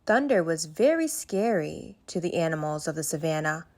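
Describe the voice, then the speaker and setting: A woman narrating a bedtime story aloud for children in an expressive way that speaks directly to young listeners. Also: sits low, moderate pace.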